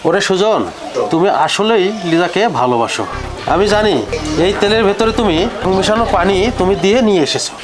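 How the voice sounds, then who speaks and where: A man's voice: high voice, fairly quick, music in the background, close to an everyday voice, low-quality recording. A man playing an imperious, pompous village patriarch bargaining over a marriage in a comic drama. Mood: suspicious, knowing.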